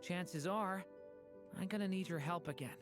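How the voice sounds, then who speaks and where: A woman's voice: lowish voice, normal speed, natural voice. A woman voicing a small young dragon in a game, earnest and pleading in a small, childlike voice. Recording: game audio under music.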